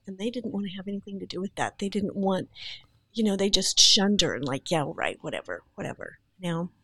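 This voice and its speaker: A woman, an actress, speaking in a podcast interview, animated, emphatic and earnest. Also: medium pitch, fairly quick, breathy edge, dry recording.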